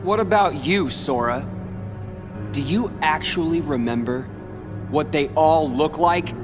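A man's voice: a little high, measured pace, over music, close to an everyday voice. A young man playing a cold, taunting rival. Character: cold, bitter teenage boy rival. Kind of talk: video game cutscene dialogue.

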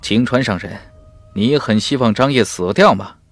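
A man's voice: medium pitch, moderate pace, no room sound, lightly acted. A man voicing a keen commander in an audiobook, explaining with authority. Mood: probing. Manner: eager, explanatory.

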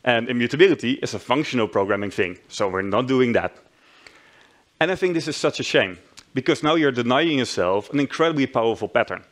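A man's voice: mid-range voice, expressive, fairly quick. Dutch-accented man giving a conference talk. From Netherlands. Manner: friendly, self-deprecating, with frequent restarts. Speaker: conference speaker. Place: large conference hall stage.

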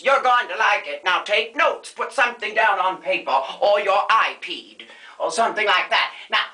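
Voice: mid-range voice, pushed and bright, roomy sound. A performer playing a vain, aging female film star who rambles and drops names in a grand diva manner, in a comedy makeup-tutorial sketch.

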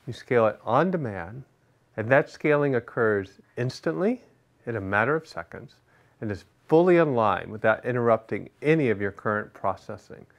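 A middle-aged man gives a scripted product explainer from a studio set. His delivery is steady and instructive, with rhetorical check-ins between points. The sound is clean and close, as from a lapel mic. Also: medium pitch, normal speed, creaky. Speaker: product management executive presenting. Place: studio with large screen behind.

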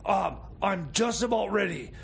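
An actor playing an excitable, bumbling scientist in radio comedy, stammering and frantically repeating himself. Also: male voice, high voice, measured pace, creaky, heavily acted. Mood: eager, stammering. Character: excitable, bumbling self-styled mad scientist time traveller.

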